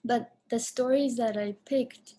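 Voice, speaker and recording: A woman's voice: fairly high pitch, fairly even intonation, measured pace, warm tone. A woman who grew up in Japan, speaking English as a second language, answering questions about her film in a hesitant way with many restarts. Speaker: film director. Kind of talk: casual Q&A answers.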